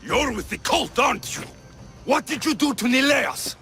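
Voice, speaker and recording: An actor voicing a bold, wry, commanding Greek mercenary captain in a video game. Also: male voice, extremely high pitch, expressive, moderate pace, pushed and bright, faint music behind, full character voice. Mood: threatening. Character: bold, flirtatious, stern male Greek mercenary ship captain. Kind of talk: video game character acting.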